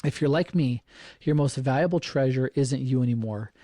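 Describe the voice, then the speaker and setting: A middle-aged man talks through practical advice in an earnest, casual podcast manner, circling back and repeating himself. His voice is mellow and mid-pitched. The sound is dry and close, with no room around it. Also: moderate pace, no echo. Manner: earnest, repeats phrases and restarts often. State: slightly froggy voice. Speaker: podcast host.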